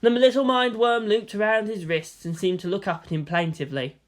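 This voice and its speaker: A narrator giving a dramatic, expressive reading of scripted fan fiction. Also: mid-range voice, brisk. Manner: expressive, theatrical.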